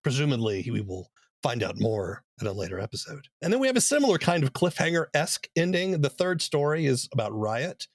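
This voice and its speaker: A man's voice: medium pitch, big pitch swings, brisk, no echo. A man hosting a podcast, giving a conversational review and summary. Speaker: podcast host.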